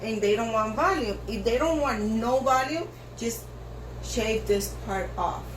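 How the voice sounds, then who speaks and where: A woman hairstylist whose first language is Spanish, giving slow step-by-step instructions for a haircut, with lots of repetition. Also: fairly high pitch, noisy background. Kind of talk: haircut tutorial narration. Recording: echoey room with faint ambience.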